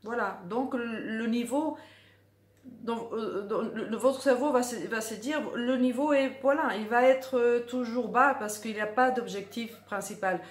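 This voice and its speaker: A woman's voice: fairly high pitch, measured pace. A middle-aged woman, a drawing teacher, talking casually to the camera and earnestly stressing her points by repeating words. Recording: echoey room.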